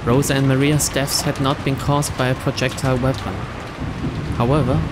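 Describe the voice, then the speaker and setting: A man reading visual novel narration in a steady, measured way. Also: mid-range voice, background noise.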